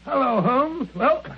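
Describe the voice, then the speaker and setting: Bumbling, genial British male doctor. High, husky, blustery, stammering; tinny vintage radio sound. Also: noisy background, full character voice. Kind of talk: 1940s radio drama acting.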